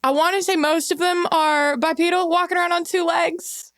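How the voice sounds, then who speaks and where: A woman's voice: very high-pitched, flat delivery, moderate pace, a bit bright, no room sound, clean studio sound. A young woman chatting casually as a podcast guest. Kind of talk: casual chat.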